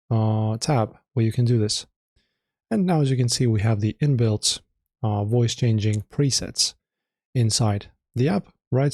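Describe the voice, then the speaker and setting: A man narrating a casual software tutorial in an informal, slightly hesitant way, often correcting himself as he explains. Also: mid-range voice, creaky, no echo, studio quality. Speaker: tutorial host.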